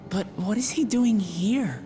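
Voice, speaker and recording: An actress playing an earnest, compassionate young heroine in a video game cutscene, sincere and thoughtful. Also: female voice, mid-range voice, unhurried, warm tone, soft background music, close to an everyday voice.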